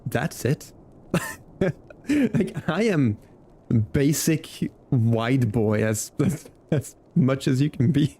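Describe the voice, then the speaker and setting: A young Flemish man, a native Dutch speaker, streaming a game and chatting with viewers, animated and laughing often. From Belgium. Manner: animated, laughs often, excitable pitch jumps. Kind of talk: casual live-stream chat reading comments.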